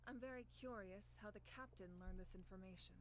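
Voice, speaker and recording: A woman voicing a grave, confident warrior leader in a video game, speaking in an earnest, measured way. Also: medium pitch, slight background noise, natural voice, poor audio. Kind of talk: video game character dialogue.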